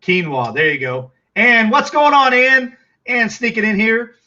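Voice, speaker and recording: A man's voice: extremely high pitch, lively intonation, unhurried, sharp tone, dull audio. A middle-aged man with a Texas accent, chatting casually with viewers on a livestream, rambling with lots of fillers and restarts.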